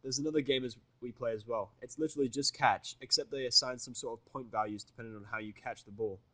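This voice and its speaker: Male story reader, medium pitch, wry informal first-person narration, recorded very dry. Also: quick pace, a bit bright, dry recording. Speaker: fan fiction reader.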